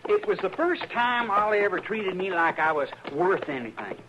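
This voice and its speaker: A simple young outlaw with a high, swooping voice, talking in earnest, ungrammatical country speech. He sounds like a hurt younger brother in an old radio western. The broadcast is dull and hissy. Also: big pitch swings, normal speed. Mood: plaintive. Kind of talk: 1950s radio drama acting.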